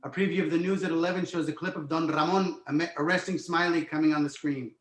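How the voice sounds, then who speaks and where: Echoey, higher-voiced male screenplay narrator. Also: steady tone, quick pace. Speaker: stage-direction narrator. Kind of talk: scripted narration for a screenplay table read. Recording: roomy, echoey home recording.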